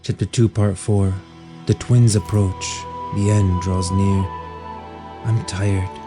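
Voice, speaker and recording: A man's voice: low-pitched, fairly even intonation, unhurried, over music. A man giving scripted, dramatic narration for a fantasy audio drama.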